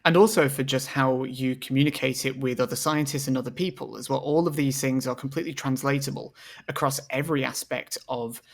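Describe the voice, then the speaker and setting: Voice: medium pitch, steady tone, fairly quick. A podcast host interviewing guests, brief and encouraging, laughing along with them.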